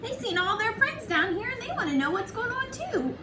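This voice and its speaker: A woman with a very high, lively voice reads a picture book to little children. She is sing-song and unhurried, stopping for chatty asides to her young listeners. The recording sounds roomy and a bit distant from the microphone. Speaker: children's storyteller, early childhood educator. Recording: roomy, distant mic, faint background noise.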